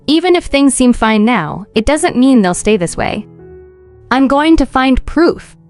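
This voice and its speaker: A woman's voice: high voice, lively intonation, measured pace, a little mellow, faint music behind. A woman narrator voicing a stern, domineering older mother-in-law who scolds and commands in a dramatized story. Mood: irritated, suspicious.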